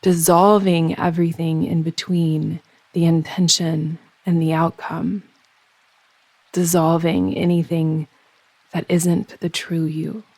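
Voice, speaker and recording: A woman guiding a yoga nidra meditation in slow, soothing instruction, with measured, unhurried phrasing and pauses. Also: low voice, level tone, darkish tone, no room sound, rough recording.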